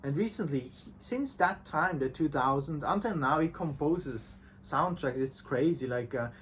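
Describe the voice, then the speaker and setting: A man talking casually and enthusiastically as a visual novel reviewer, halting and repeating himself with false starts. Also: fairly high pitch, animated, fairly bright tone. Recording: clearly noisy background.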